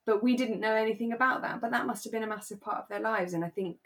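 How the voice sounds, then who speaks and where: A woman co-hosting a podcast, giving her opinions emphatically in relaxed, casual chat. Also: a little high, fast talker. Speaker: podcast co-host. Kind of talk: casual chat reviewing a radio drama.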